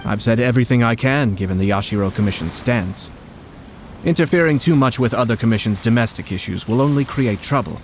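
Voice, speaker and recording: An actor playing a polite, composed young nobleman in a video game, speaking in a formal, measured, tactful way. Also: medium pitch, slight background noise, close to an everyday voice. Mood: wary, thoughtful. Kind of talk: scripted video game voice acting. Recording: game music behind.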